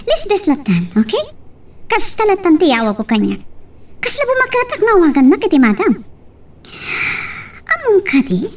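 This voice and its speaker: Spoiled young heiress, played by a woman: piercingly high, wildly animated, pushy, gushing radio-drama delivery, distant mic. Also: normal speed, pauses often, exaggerated voice. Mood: chatty, coaxing. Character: spoiled, pushy rich businessman's young daughter. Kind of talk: Ilocano radio-style drama acting.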